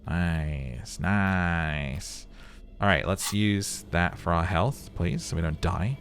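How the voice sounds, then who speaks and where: Low-voiced man doing game commentary. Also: animated, takes it slowly, slight background noise. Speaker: gaming video host. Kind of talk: playthrough commentary with read-aloud game narration.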